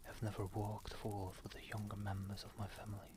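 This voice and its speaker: Hushed, hearty spirit of Christmas, welcoming and commanding, played by a male reader, storybook style, noisy recording. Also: lowish voice, monotone, brisk, close to an everyday voice. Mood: genial. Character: hearty, genial giant spirit of Christmas present.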